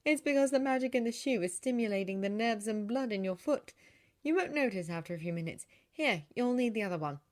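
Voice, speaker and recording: A woman's voice: a little high, animated, brisk, voice pushed up, natural voice. A woman voicing a caring elder sister in a story, explaining and cautioning in a reassuring way.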